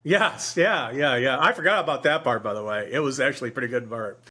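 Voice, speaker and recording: A man's voice: mid-range voice, fast talker, bright, forceful tone. An American man co-hosting a podcast, discussing books casually in a rambling way and repeating his phrases. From Michigan and Texas, US. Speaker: book podcast co-host.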